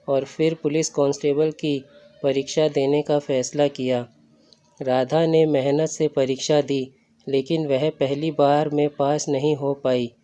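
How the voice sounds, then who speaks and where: A man's voice: on the high side, warm tone. A man reading a scripted story as a narrator. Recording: dull lo-fi recording.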